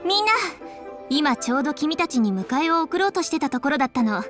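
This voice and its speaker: High-voiced, composed young official. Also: female voice, lively intonation, a little fast, music in the background. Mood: composed, explanatory. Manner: articulate, businesslike, polite. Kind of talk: Japanese video game voice acting.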